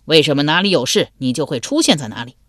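A woman voicing a commanding, suspicious male general in an audiobook, gruff, sardonic and authoritative. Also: low voice, animated, quick pace, fairly bright tone, no room sound, studio quality. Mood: suspicious, stern. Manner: barking, authoritative.

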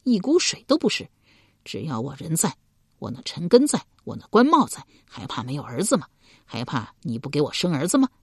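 Scheming husband in an ancient tale, voiced by a woman, low pitch, husky, domineering, coldly persuasive. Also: big pitch swings, a little fast, no echo, studio quality, exaggerated voice. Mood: angry, domineering. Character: ruthless scheming husband, ambitious ancient court cook.

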